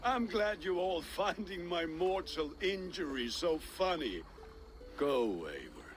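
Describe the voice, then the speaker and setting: An actor playing a gruff old Viking warrior in a video game who threatens and grumbles. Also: male voice, high voice, sing-song, slow pace, soft background music, heavily acted. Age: older. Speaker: game character.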